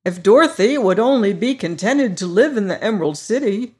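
A reader voicing a contented, cheerful scarecrow who rules a city, speaking in a bright, declaiming manner. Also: mid-range voice, lively intonation, fairly quick, breathy edge, pitched above the performer's own voice. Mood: hopeful.

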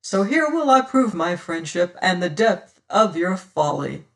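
Man voicing a sly, scornful pirate rogue, high and slow. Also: big pitch swings, a bit bright, far from the mic, full character voice. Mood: scornful lecturing. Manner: taunting, lecturing, archaic seafaring speech. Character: sly, scornful, silver-tongued pirate rogue, mocking and self-assured. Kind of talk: dramatised audiobook reading of an adventure tale.

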